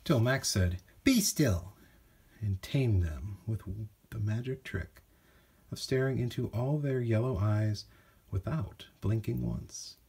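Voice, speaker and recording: A man with a husky voice narrating a children's storybook from a script. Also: fairly low pitch, a little slow, warm tone. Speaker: storybook reader.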